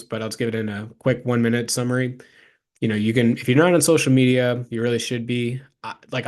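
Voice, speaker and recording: A man presents as a consultant walking a viewer through a case study. His pitch stays fairly flat and even, and his delivery is informal, explanatory and practical, addressed straight to the listener.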